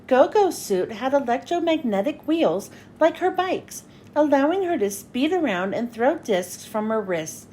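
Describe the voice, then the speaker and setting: A woman reading a children's story aloud in an expressive, dramatic storytelling style. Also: sits high, measured pace, few pauses, slight background noise. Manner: expressive, dramatic with character lines. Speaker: children's book reader. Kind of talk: animated read-aloud storytelling for kids.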